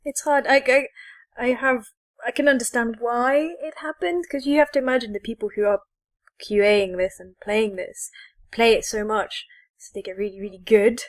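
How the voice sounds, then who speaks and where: A woman's voice: fairly high pitch, fairly even intonation, normal speed, no room sound. A woman co-hosting a podcast in a casual, conversational discussion of games. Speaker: podcast co-host.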